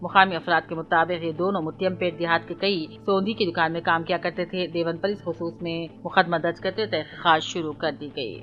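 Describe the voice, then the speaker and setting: Woman, low voice, news anchor, formal scripted delivery, faint music underneath. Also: fairly even intonation, a little fast, hardly any pauses, dull audio. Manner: formal, reading out items one after another. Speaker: news reader. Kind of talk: scripted news bulletin narration in formal Urdu register. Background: background music.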